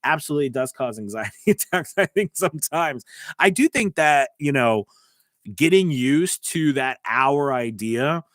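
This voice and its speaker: A man's voice: mid-range voice, animated, unhurried, fairly bright tone, dry recording, clean studio sound. An American man hosting a live stream, giving voice-over business tips and answering chat questions in a loose, talkative way with many restarts. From United States.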